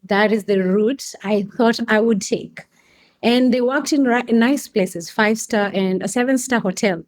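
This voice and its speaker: A young adult woman from Kenya telling a podcast host about her path into coffee, speaking slowly with fillers and restarts. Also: fairly high pitch. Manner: unhurried, frequent restarts and fillers. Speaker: guest, coffee customer experience manager. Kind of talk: casual interview answers.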